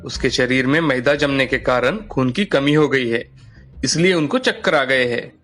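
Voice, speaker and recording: A man's voice: medium pitch, moderate pace, a bit bright, faint music behind, natural voice. A man voicing a stern, concerned doctor in a cartoon story.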